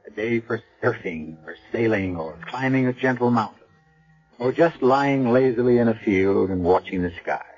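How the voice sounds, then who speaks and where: An American man narrating a radio drama as its host in a measured, portentous way. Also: medium pitch, lively intonation, a little mellow, faint music behind. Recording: dull vintage radio broadcast.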